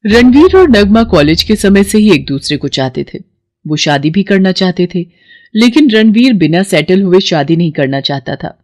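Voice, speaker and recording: A woman's voice: medium pitch, big pitch swings, normal speed, a little mellow, dry recording, clean studio sound. A woman narrator telling a scripted story in a dramatic storytelling style.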